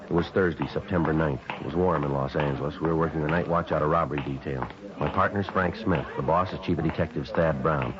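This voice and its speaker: A stoic American police sergeant speaks in an extremely deep voice at a quick clip. He is terse and deadpan, in 1950s radio crime drama style, on a muffled old broadcast recording. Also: crowd noise. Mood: matter-of-fact narration. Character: stoic, businesslike male police detective sergeant. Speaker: lead actor and narrator.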